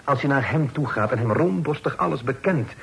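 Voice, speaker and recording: An upright, cautious burgher. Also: male voice, medium pitch, expressive, fairly quick, vocal fry, a bit bright, slightly rough, full character voice, poor audio. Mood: stern. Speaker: actor in radio drama. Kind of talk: radio play acting.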